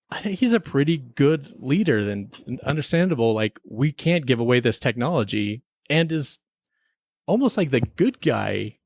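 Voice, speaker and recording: A man's voice: medium pitch, darkish tone, dry recording. A man co-hosting a podcast, talking through a TV episode in a rambling, opinionated, informal way. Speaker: podcast co-host. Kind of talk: casual TV episode review chat.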